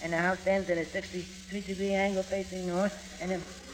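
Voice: mid-range voice, little pitch movement, moderate pace, a crowd in the background, natural voice, rough recording. An actor playing a boastful, muddled six-year-old pupil in a 1940s radio comedy. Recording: old radio recording with music.

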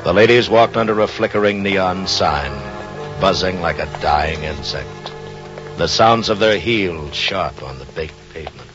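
Deep-voiced grave radio narrator. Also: male voice, unhurried. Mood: grave, suspenseful. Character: grave, literary storyteller of a suspense tale. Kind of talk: scripted radio drama narration. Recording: muffled old radio recording. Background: music.

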